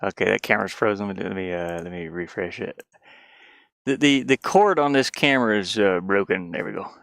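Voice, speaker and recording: A man's voice: fairly low pitch, a little fast, vocal fry, a bit bright, no echo. Scattered, casual man streaming, dry close sound. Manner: scattered, self-deprecating. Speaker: live streamer. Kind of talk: casual rambling chat. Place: inside a small cluttered van.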